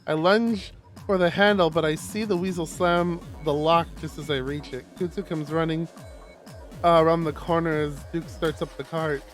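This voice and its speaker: A man streaming a live read-aloud of a visual novel, expressive, with stumbles and casual asides. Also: on the high side, normal speed, faint music behind. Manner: expressive, stumbles over words while reading.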